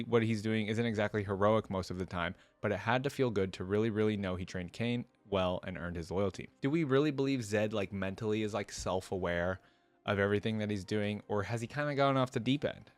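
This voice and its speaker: A man's voice: on the low side, no echo. A man giving casual reaction commentary, reading aloud and adding informal, exclaiming asides as host.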